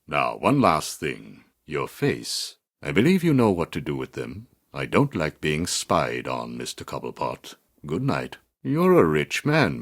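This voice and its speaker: Sinister psychiatrist, video game villain, bottomless gravelly voice, measured, formal, coldly manipulative, condescending. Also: male voice, extremely low pitch, heavily acted. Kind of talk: dramatic video game voice acting.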